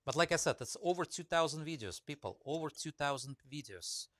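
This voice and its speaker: A man's voice: a little high, lively intonation, a little fast, a bit bright, dry recording, studio quality. A man with a Russian accent chatting casually on a live stream, greeting viewers by name and rambling with lots of fillers. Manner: rambling, many fillers, reads comments aloud. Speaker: woodcarving teacher live-streaming.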